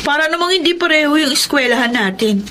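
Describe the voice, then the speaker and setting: An actress playing a studious, scolding teenage sister in a radio drama, questioning in a pressing, suspicious manner. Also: female voice, high-pitched, animated, quick pace, noisy background.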